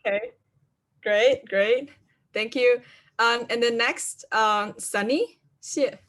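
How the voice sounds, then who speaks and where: Slow, hesitant woman moderator. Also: a little high, fairly bright tone. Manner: hesitant, many fillers, unsure of name pronunciation. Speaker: conference moderator. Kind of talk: moderating a video call. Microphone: video call.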